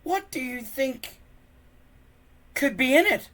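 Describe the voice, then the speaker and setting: A reader voicing a wry, kindly boy sidekick in a children's storybook, in a high, exclaiming voice. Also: lively intonation, slow, a bit bright, exaggerated voice. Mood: gentle, hesitant.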